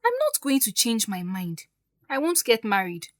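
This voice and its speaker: Defiant, wounded grown daughter, higher voice. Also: female voice, huge pitch swings, moderate pace, dry recording, studio quality. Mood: firm, weary. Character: defiant, wounded grown stepdaughter standing up for herself. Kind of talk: audio drama acting.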